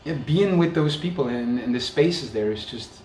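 A man from Belgium chatting casually, reflective and relaxed, with "you know" in nearly every sentence. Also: mid-range voice, moderate pace. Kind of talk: casual conversation. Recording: echoey room with faint background noise.

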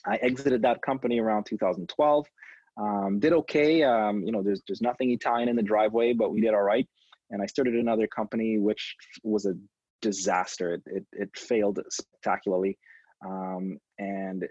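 A man's voice: on the low side, darkish tone, slightly rough, dry recording, muffled recording. A man raised in Trinidad and Tobago, a guest in an interview, talking in a reflective way with many ums and repeated words. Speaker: guest, education innovation consultant. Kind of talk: conversational interview.

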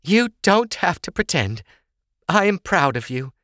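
A worried noble mother in an audiobook tale, played by a man. The matriarch speaks in a raised, lively pitch, loving and coaxing in her manner. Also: unhurried, no room sound, studio quality, full character voice. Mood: tender.

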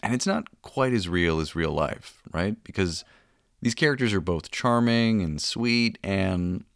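A man hosting a relaxed, conversational interview, recorded on a close mic in a small closet space. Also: deep voice, unhurried, no echo. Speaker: podcast host and audio performer. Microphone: close studio-style mic in a closet.

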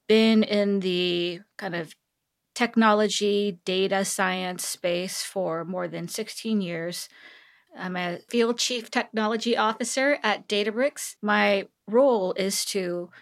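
A woman's voice: medium pitch, slow. A middle-aged woman from Seoul speaking conversationally as a guest in an interview, given to laughing. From Seoul, South Korea. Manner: laughs often. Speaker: podcast guest, technology executive.

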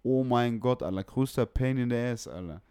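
A man's voice: mid-range voice, normal speed, dark tone, clean tone, no echo, studio quality. A young man from northern Germany chatting casually about music, slangy, blunt and opinionated. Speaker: music producer, podcast guest.

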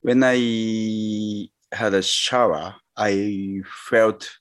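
A man in his fifties with a Japanese accent talks slowly and hesitantly, often stopping to search for words. His voice is low and recorded close and dry. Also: fairly even intonation, a bit bright, no room sound. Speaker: guest. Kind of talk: casual conversation.

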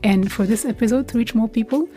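A woman's voice: on the high side, fast, warm tone. Woman podcast host, music behind. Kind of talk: scripted podcast introduction and interview questions.

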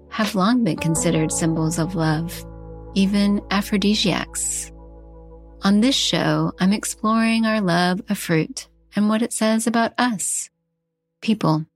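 A woman, a chef hosting a podcast, reading a poetic love letter and scripted narration in a slow, intimate way. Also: medium pitch.

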